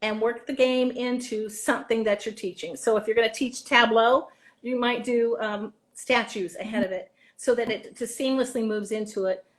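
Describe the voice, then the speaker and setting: A woman's voice: on the high side, fairly even intonation, moderate pace. A woman drama teacher chatting casually in an interview, giving practical advice in an encouraging way and repeating words for emphasis.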